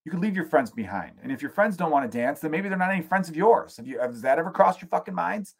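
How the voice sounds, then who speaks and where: A man's voice: fairly high pitch, expressive, quick pace, vocal fry, slightly rough. An American man in his late thirties hosting a comedy podcast, delivering a casual, profane, emphatic rant. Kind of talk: casual ranting monologue.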